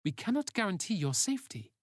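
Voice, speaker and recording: A blunt, commanding woman leading a team in a sci-fi audio story, as read by a narrator. She speaks briskly and with warning, pitched a little up, in a dry recording. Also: sing-song, no room sound. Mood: stern warning.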